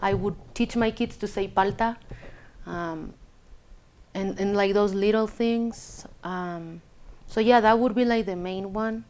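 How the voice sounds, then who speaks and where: Unhurried woman with Chilean Spanish accent. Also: medium pitch, darkish tone. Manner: hesitant, searching for English words. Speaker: interviewee telling a personal story. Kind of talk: casual reflective personal talk.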